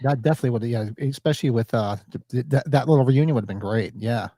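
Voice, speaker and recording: A man's voice: medium pitch, fast, mellow, no echo, studio quality. A man co-hosting a casual horror podcast, sniffly from allergies, rambling with plenty of fillers. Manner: rambling, laid-back, lots of fillers. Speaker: podcast co-host.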